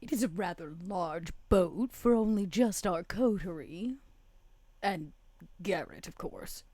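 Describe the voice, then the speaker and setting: Woman doing a refined sly vampire lady for a tabletop game, kinda low voice, musing, really dry sound. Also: expressive, takes it slowly, warm tone, dry recording. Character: female vampire schemer, shrewd, refined, wry. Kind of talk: in-character tabletop roleplay.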